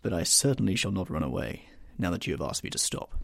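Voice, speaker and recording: A man's voice: low-pitched, big pitch swings, fast talker, vocal fry, a bit bright, dry recording, clean studio sound, exaggerated voice. A man playing a languid, witty aristocrat.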